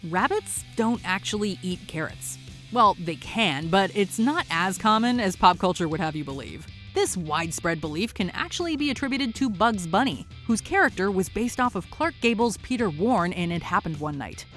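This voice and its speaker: Animated woman narrator over music. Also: medium pitch, moderate pace. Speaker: host and narrator of a countdown video. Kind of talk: scripted narration. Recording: music behind the voice. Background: background music.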